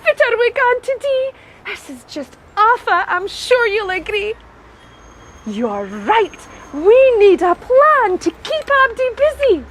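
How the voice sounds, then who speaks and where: Pantomime fairy godmother, North-East Scottish Doric accent, very high voice, slow theatrical rhyming, exaggerated, excitable. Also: background noise, exaggerated voice. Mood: excited, scheming. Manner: exaggerated, theatrical, addressing children. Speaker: pantomime performer.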